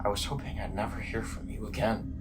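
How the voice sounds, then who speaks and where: A man's voice: lowish voice, flat delivery, fairly quick, noisy background, close to an everyday voice. A man playing an exhausted, bitter, volatile sleepless man in an audio drama, with intense emotional delivery. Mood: weary bitterness.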